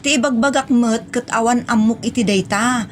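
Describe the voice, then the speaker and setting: A woman's voice: fairly high pitch, normal speed, slight background noise, natural voice. A woman playing a defensive young woman with an Ilocano accent in a comedy audio drama, insistently denying things. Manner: flat-out, insistent denials.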